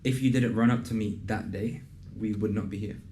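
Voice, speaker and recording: A young man hosting a casual interview and pitching his program in an earnest, conversational way, with plenty of fillers. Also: steady tone, a little fast, dark tone, slight background noise. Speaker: host and program founder. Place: in a building in a city business district.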